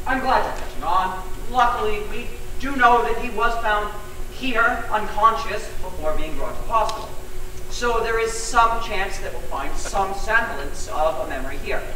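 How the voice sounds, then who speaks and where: A woman plays a brisk, matter-of-fact doctor in amateur theatre. The voice has a middling pitch, heavy room echo and clear noise around it. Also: close to an everyday voice. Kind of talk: amateur stage play acting. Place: indoor stage, recorded from the audience. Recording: very echoey stage, distant, noisy.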